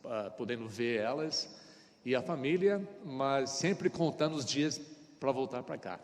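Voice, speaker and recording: A man's voice: mid-range voice, a little slow, darkish tone, in a large hall. A middle-aged man, a pastor with an American accent and English as his first language, preaching a sermon in Portuguese in short phrases with small grammar slips and a frequent "tá?". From United States. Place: church sanctuary.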